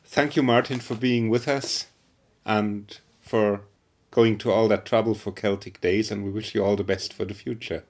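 A man's voice: lowish voice, fairly even intonation, normal speed. A man hosting a podcast, interviewing a guest and reading wry scripted announcements. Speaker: podcast host.

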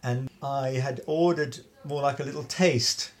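A man chatting in an interview about organizing a dance event, halting with many restarts and laughs. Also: medium pitch, slight background noise. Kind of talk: casual interview chat.

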